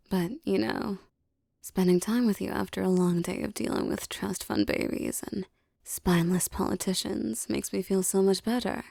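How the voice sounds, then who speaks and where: A woman playing a tough but affectionate female mafia boss in an intimate ASMR roleplay, with teasing, streetwise talk and a soft voice. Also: medium pitch, steady tone, unhurried, vocal fry, no room sound, clean studio sound. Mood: fond, teasing.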